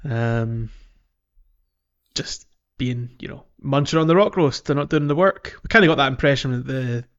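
A man's voice: mid-range voice, moderate pace, a bit bright, clean tone. A man co-hosting a podcast, chatting casually about gaming in a hesitant, rambling way. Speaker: podcast co-host. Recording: dull lo-fi audio, music behind.

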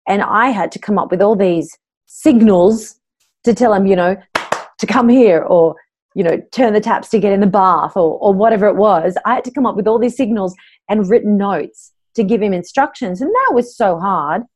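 A woman's voice: medium pitch, moderate pace, darkish tone, breathy edge. A middle-aged woman with an Australian accent, a voice coach telling her own story openly and earnestly in an interview.

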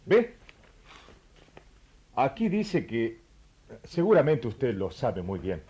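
A man's voice: mid-range voice, huge pitch swings, slow, dark tone, breathy edge, dull audio, heavily acted. A man playing a courteous, inquisitive royal treasurer from Ethiopia in a radio play, speaking politely and invitingly. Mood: eager, inviting.

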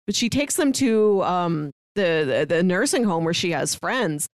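A woman's voice: on the low side, big pitch swings, a little slow, no echo, clean studio sound. A woman in her early thirties co-hosting a casual film discussion, retelling scenes conversationally with bits of quoted dialogue. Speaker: podcast co-host.

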